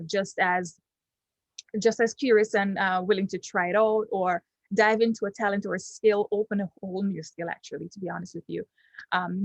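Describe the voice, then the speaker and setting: A young Jamaican woman, Patois her first language, talks through a testimonial in her Jamaican accent at a middling pitch. She halts often, filling gaps with ums and restarting phrases. The recording is dry, with no room sound. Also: no room sound, low-quality recording. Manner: hesitant, many ums and restarts. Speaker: client giving a testimonial. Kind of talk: unscripted testimonial.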